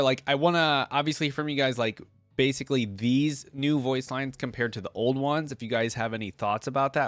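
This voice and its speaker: A man's voice: medium pitch, no room sound. A man hosting a reaction video, giving casual, conversational commentary with brief interjections.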